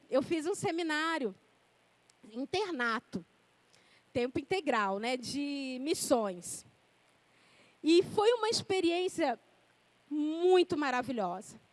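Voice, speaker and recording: High-voiced woman preaching. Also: no echo. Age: 40s. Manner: conversational, reads scripture then reminisces. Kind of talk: sermon with personal stories.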